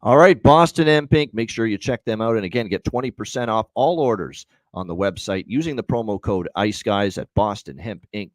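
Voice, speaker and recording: A man's voice: medium pitch, dry recording. A man hosting a sports betting show, talking off the cuff in an emphatic way that repeats phrases for stress. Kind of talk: unscripted betting show commentary and promotion.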